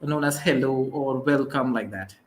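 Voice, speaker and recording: A man's voice: medium pitch, moderate pace, slight background noise. Hesitant South Indian man being interviewed. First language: Tamil. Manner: hesitant, many fillers. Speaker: guest. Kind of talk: interview answers.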